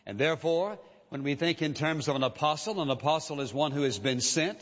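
A man preaching a sermon as a pastor, measured and emphatic, repeating key phrases for weight. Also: a little high, expressive, a bit bright. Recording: dull lo-fi sound with long hall reverb.

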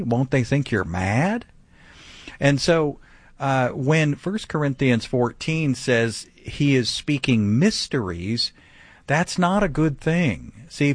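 A man's voice: medium pitch, no room sound. A man teaching the Bible on a radio broadcast, emphatic and full of rhetorical questions. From grew up speaking some Vietnamese. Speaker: pastor.

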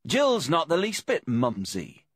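A man's voice: lowish voice, very animated, a little slow, fairly bright tone, no room sound, studio quality, voice pushed up, full character voice. A man voicing a smug, cynical, corrupt police inspector with a casual, taunting manner in a crime audiobook. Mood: cheerfully taunting.

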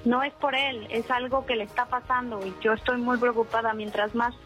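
A woman's voice: on the high side, flat delivery, brisk, fairly bright tone, soft background music. A woman, calling in hesitantly and deferentially for advice. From El Salvador. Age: 50s. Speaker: caller seeking advice. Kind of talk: recounting a personal problem.

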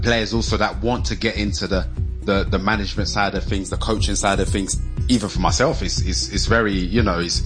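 A former footballer speaking haltingly in a clip played from a podcast, repeating his words as he goes. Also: sits low. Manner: halting, repetitive. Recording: distant clip with music.

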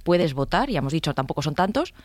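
A woman's voice: low-pitched, very animated, no room sound. A woman, arguing a political point as a commentator on a radio panel. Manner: fast, forceful argumentation. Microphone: studio microphone.

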